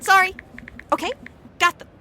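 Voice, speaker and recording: A woman's voice: normal speed, pushed and bright, a little hiss or hum. An actress playing a cheerful, chatty woman agent who loves puns, in a comedy audio drama. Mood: chipper. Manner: bubbly, chatty, punning.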